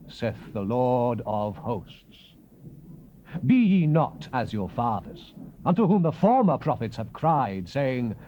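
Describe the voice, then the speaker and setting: An actor playing a grave, visionary prophet in a dramatized scripture reading, measured and declamatory. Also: male voice, mid-range voice. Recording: dull, noisy old cassette tape.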